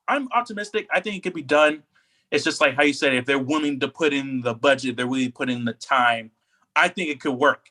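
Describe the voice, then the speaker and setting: A man's voice: on the high side, sharp tone. A man co-hosting a podcast in a casual, conversational chat style. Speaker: podcast co-host.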